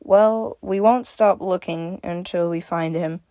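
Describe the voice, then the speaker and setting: Storybook role: reassuring, playful big-hearted female friend, a woman acting, gentle, lowish pitch, unrushed. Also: slow, no room sound. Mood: determined. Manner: teasing, gentle.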